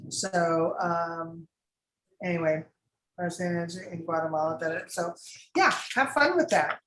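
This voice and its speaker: A woman's voice: lowish voice, unhurried, a bit bright. Woman, casting director, casual advice to actors, rambling, fillers, roomy echo. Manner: chatty, rambling with many fillers. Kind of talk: advising actors in a class.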